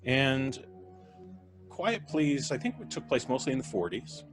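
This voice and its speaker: A man's voice: mid-range voice, big pitch swings, unhurried. A man hosting a casual live podcast in a chatty, joking way. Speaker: podcast host. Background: clear music.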